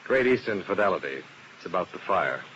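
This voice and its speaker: A muffled, noisy old radio recording carries a low-pitched American male detective. He is cool and wry, with terse, matter-of-fact delivery suited to a 1950s mystery serial. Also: sing-song, a little fast. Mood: businesslike. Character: cool, wry male insurance investigator, narrating his case. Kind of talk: 1950s radio detective drama acting and narration.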